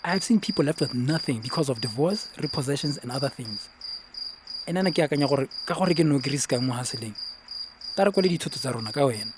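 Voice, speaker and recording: An actor with a Botswana accent playing a reasonable, placating young fiancé in a radio drama, soothingly mixing English and Setswana. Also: male voice, medium pitch, lively intonation, quick pace, background noise, natural voice. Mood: earnest explaining. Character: reasonable, placating young fiancé defending his money decision.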